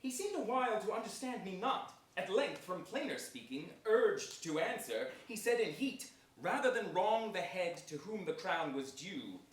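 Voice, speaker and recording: Man acting a lively-pitched dutiful henchman. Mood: grave reporting. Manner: measured, emphatic, formal. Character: dutiful henchman soberly reporting to his plotting master. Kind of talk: theatrical Shakespearean stage acting. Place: theater stage before an audience. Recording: very echoey distant stage pickup.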